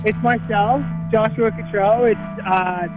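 A musician answers interview questions over a remote line, easygoing and a bit hesitant. His voice sits high for a man, and music plays clearly underneath. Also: steady tone, measured pace, muffled recording. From Canada. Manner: easygoing, hesitant, many fillers and restarts. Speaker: musician guest. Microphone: remote call line.

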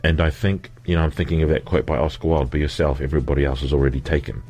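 Male singer, extremely low voice, thoughtful, relaxed interview guest. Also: fast talker, soft background music. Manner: reflective, laughs easily. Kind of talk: conversational interview.

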